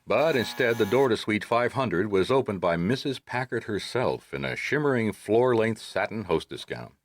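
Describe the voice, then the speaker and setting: An actor playing a wry, easygoing private detective in a radio drama, talking in a dry, casual hardboiled style. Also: male voice, on the low side, animated, normal speed, no echo, lightly acted. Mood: wry narration.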